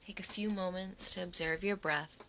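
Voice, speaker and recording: A woman leading a guided imagery meditation in a soothing, unhurried manner, speaking in short phrases with pauses between them. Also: lowish voice, fairly even intonation, sharp tone, a little hiss or hum. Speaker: guided relaxation narrator.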